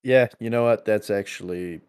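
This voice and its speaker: A man chatting casually and thinking out loud, halting and repeating words as he works through his ideas. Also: on the low side, clear, resonant tone.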